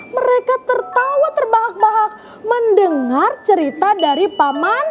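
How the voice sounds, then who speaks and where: A woman's voice: extremely high pitch, unhurried, slight background noise. A woman storyteller addressing young children, narrating in an interactive way and checking in with her listeners. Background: music.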